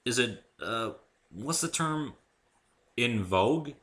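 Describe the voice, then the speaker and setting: A man's voice: medium pitch, animated, slow, a bit bright. A man voicing a polite, curious male visitor in a story, casual and a little hesitant.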